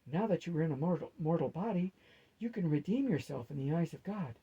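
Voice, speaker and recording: A man's voice: fairly high pitch, expressive, fairly quick, dark tone, slightly rough, dull audio, exaggerated voice. A man voicing a pious, stern woman of faith who speaks formally and preaches, in a storybook reading. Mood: admonishing. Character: pious, stern holy woman with a dark side.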